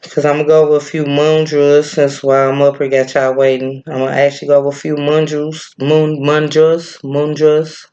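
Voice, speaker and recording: A man with a Midwestern accent giving a casual breathing lesson, laid-back and chatty, often pausing with fillers as he searches for a name. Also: fairly high pitch, completely flat delivery, darkish tone, highs cut off. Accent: Midwestern American. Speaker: breathwork and yoga teacher. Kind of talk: casual spiritual teaching, rambling.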